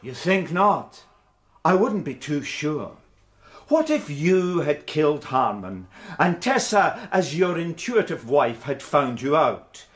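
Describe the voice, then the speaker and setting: A man's voice: on the high side, very animated, slow pace. A British actor playing a gloating, theatrical murderer in a radio drama, taunting and smug as he toys with his pursuer. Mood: smug, menacing.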